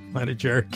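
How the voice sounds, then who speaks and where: A man co-hosting a podcast, reading reference text aloud with casual remarks thrown in. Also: fairly bright tone. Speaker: podcast co-host. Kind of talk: reading an encyclopedia article aloud with casual asides.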